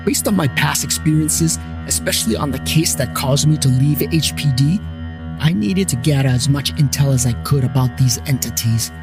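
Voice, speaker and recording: A man telling a scripted comic story in the first person, with wry, irreverent asides to the listener. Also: on the high side. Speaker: storyteller narrator and host of a paranormal investigations series. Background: plucked guitar music.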